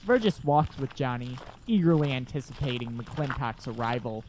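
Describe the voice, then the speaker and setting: A man's voice: medium pitch, lively intonation, moderate pace, a little mellow. A man narrating an audio drama from a script. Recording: noisy, battle gunfire effects behind.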